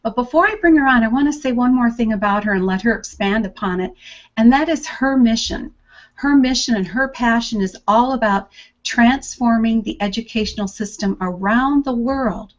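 A woman's voice: on the high side, normal speed, dull audio. Upbeat woman hosting a web show. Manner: upbeat, promotional delivery. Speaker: host.

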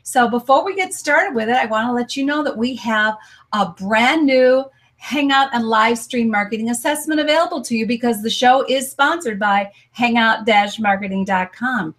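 A woman's voice: on the high side, normal speed, fairly bright tone. An American woman hosting a live stream interview show, upbeat and promotional. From United States. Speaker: host.

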